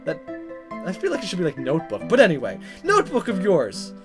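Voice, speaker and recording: A woman's voice: lowish voice, huge pitch swings, brisk, over music. A woman hosting a let's play, reading dialogue aloud dramatically and commenting in a playful, exaggerated way. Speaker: gaming video host.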